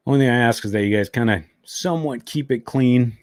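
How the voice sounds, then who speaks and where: A man's voice: mid-range voice, a little fast, no echo, clean studio sound. A man hosting a motorcycle live stream from home, chatting casually and easygoing, with fillers. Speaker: motovlog live stream host.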